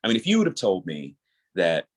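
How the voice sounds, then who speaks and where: A man's voice: low voice, huge pitch swings, a little fast, breathy edge. A man in his thirties talking casually and earnestly as a guest in a podcast interview. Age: 30s. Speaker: guest, audio drama creator.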